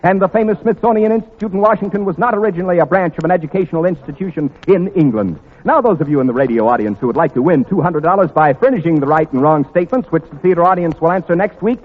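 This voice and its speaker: High-pitched 1940s radio quizmaster, American man. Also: fast talker, hardly any pauses. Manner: crisp formal showman, repeats and spells out items precisely. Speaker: quiz show host. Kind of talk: 1940s American radio quiz hosting, formal announcing. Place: large theater before an audience. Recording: muffled 1940s radio recording, long theater reverb.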